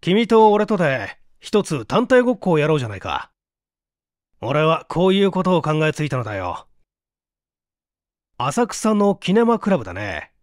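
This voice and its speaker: A man's voice: on the high side, no room sound, clean studio sound. A man voicing a smug, eager young man in a storybook reading, confident and teasing.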